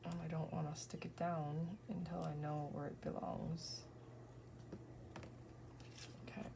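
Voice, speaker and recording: A woman in her thirties, tired from an early morning, softly thinking aloud and often whispering as she works on a scrapbook page. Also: low voice, little pitch movement, a little slow, creaky, background noise. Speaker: scrapbooking crafter. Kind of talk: casual crafting vlog narration.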